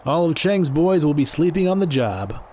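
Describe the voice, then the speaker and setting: A man's voice: a little high, big pitch swings, moderate pace, mellow, a little hiss or hum. A man playing a confident fighter with a florid way of talking in an audio drama. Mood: cocky. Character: confident fighter ally, reverent commentator.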